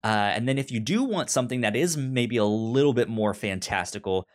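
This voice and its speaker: A man co-hosting a casual discussion podcast, speaking informally and haltingly, with stuttered, repeated syllables and words. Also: mid-range voice, animated. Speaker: podcast co-host. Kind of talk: casual book and film discussion.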